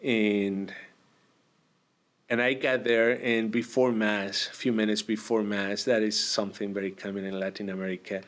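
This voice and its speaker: Soft-spoken Argentine priest with hesitant speech. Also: male voice, lowish voice, little pitch movement, normal speed. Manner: hesitant, many fillers and restarts. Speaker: priest, guest. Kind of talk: conversational interview storytelling.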